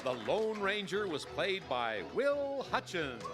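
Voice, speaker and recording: A man's voice: high-pitched, sing-song, slow pace. A man reading scripted credits as an announcer in old-time radio style. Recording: live stage, loud music and crowd.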